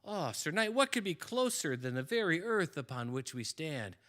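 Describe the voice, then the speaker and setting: A man's voice: a little high, sing-song, normal speed, fairly bright tone. A man voicing a panicky old male innkeeper who babbles and stammers in fright. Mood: sagely. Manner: frantic, babbling, stammering repeats.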